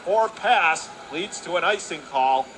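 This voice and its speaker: A man calls live hockey play-by-play on the radio, quick and tight, and he surges into near shouting on goals. His voice sits high for a man. Clear arena noise runs under the call. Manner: rapid excited calling that rises sharply on goals. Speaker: play-by-play announcer. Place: arena broadcast position. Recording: noisy arena broadcast.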